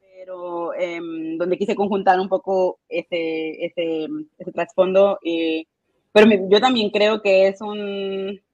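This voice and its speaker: Woman guest, thoughtful and halting explainer, recorded dull and dry. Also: medium pitch, steady tone, a little mellow, dry recording. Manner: hesitant, many fillers and restarts, explanatory. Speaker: instructional designer guest. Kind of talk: casual interview answers.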